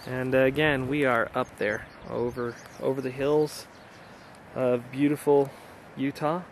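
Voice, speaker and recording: A man's voice: mid-range voice, little pitch movement, unhurried. A middle-aged American man giving an enthusiastic, casual summary as an amateur radio operator vlogging. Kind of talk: casual outdoor vlog talk, summarizing. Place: outdoors in hilly backcountry. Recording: outdoor noise behind the voice.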